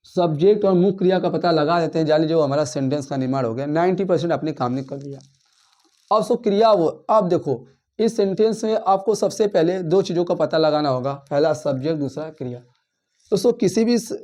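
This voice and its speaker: A man's voice: on the high side, dark tone. A male English teacher giving a grammar lesson in speech, who keeps asking his listeners questions and then answering them himself. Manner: addresses listeners as friends, asks and answers his own questions; counts words aloud, repeats and rephrases points.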